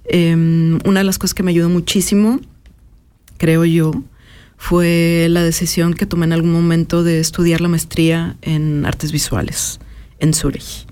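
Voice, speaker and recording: A woman, talking thoughtfully as an interview guest and pausing often with filler sounds. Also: lowish voice, flat delivery, mellow. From Mexico. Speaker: interview guest, artist and educator. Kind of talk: personal reflective conversation.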